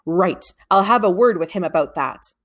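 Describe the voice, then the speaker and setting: A woman reading a storybook, voicing a cheerful, obliging, slightly slow-witted father in a plain way. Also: fairly low pitch, animated.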